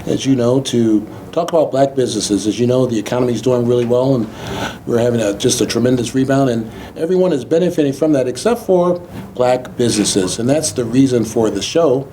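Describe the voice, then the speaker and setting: Bright-voiced male talk show host, noisy recording. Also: mid-range voice, brisk. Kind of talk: conversational interview. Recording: clearly noisy background ambience.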